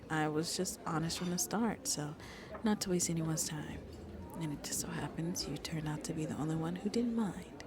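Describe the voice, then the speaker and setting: A woman acts out a shy, gentle vampire woman in an ASMR roleplay, youthful despite her long life. Her voice is on the low side and unhurried, halting and apologetic, slipping into breathy near-whispers. A crowd murmurs clearly behind her. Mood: wistful. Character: shy, gentle 150-year-old female vampire who seems mid-twenties. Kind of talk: soft-spoken ASMR roleplay.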